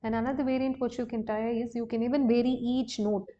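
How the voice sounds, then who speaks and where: A woman's voice: fairly high pitch, level tone, fast talker, mellow, dull audio. A woman with an Indian accent teaching a singing lesson, explaining exercises step by step. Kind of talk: instructional lesson with sung demonstrations.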